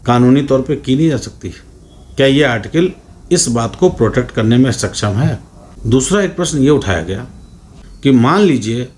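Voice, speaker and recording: An Indian man giving an explanatory law lecture in a deliberate, formal way with frequent pauses. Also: medium pitch, a little mellow, slight background noise.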